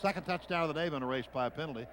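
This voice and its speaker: A man's voice: on the high side, very fast, fairly bright tone, low-quality recording. An American man doing live radio football play-by-play in clipped phrases, calling the action as it happens. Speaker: play-by-play announcer. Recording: radio broadcast over clear stadium noise. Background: stadium noise.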